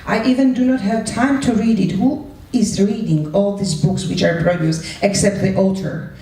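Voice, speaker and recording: A woman's voice: medium pitch, steady tone, moderate pace, dark tone. A woman moderating a live discussion informally, thanking speakers and handing the floor around. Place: large echoey room with an audience. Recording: echoey hall, distant mic, faint noise.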